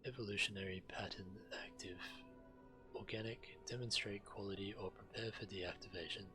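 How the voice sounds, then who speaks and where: An actor playing a cold, menacing security construct in a video game, giving clipped mechanical commands. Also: male voice, fairly low pitch, steady tone, moderate pace, music in the background, lightly acted. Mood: cold, threatening.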